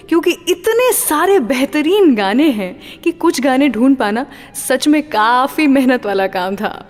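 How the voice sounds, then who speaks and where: Lady radio host, upbeat n chatty, highish voice, big reverb on it. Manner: chatty, asks and answers own questions. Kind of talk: upbeat scripted radio presenting. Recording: long hall reverb. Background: faint music.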